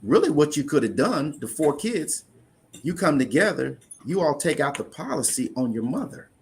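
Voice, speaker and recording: A man's voice: on the high side, big pitch swings, normal speed, creaky, slight background noise. A man in an interview, earnestly explaining money matters in a conversational way, often restarting his sentences. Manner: earnest, explanatory, repeats words when restarting. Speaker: insurance investment guest.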